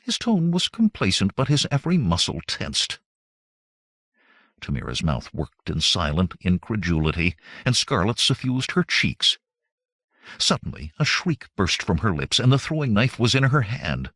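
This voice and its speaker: A man reading adventure fiction aloud as an audiobook narrator, in measured, expressive storytelling. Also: lowish voice, no room sound, studio quality.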